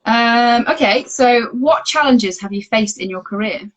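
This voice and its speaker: A woman's voice: on the high side, unhurried, faint music behind, rough recording. A woman hosting a live online Q&A, friendly and encouraging, often saying "yeah, yeah". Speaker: interview host. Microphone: video call.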